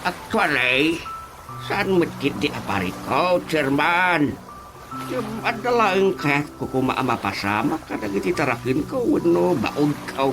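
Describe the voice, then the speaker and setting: A man playing an earnest village elder who raises poultry, in a radio drama comedy, speaking deliberately and haltingly with an Ilocano accent. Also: on the high side, big pitch swings, low-quality recording. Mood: earnest complaint. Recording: music under the voice.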